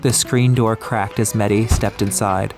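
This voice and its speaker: Low-voiced man narrating over music. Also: fairly even intonation, a little slow. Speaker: audiobook narrator. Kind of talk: scripted atmospheric story narration. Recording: music behind the voice.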